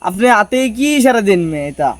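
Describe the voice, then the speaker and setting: Man as a gullible, high-voiced worried villager. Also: animated, fairly quick, a crowd in the background. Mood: doubtful. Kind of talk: amateur comedy skit acting.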